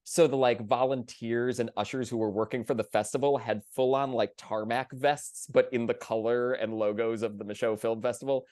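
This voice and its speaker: A man's voice: mid-range voice, fairly even intonation, moderate pace, few pauses, a little mellow, no room sound, clean studio sound. Enthusiastic man chatting on a panel. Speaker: theatre panelist. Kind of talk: casual panel discussion.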